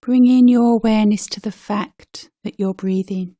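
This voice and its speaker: A woman leading a guided meditation as a teacher, speaking gently and unhurriedly with pauses between short phrases. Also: mid-range voice, steady tone, no room sound, studio quality.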